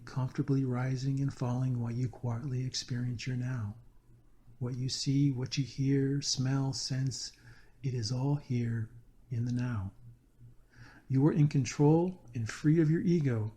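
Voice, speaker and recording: Male meditation guide, soft-edged mellow tone, mid-range pitch, earnest and measured scripted delivery.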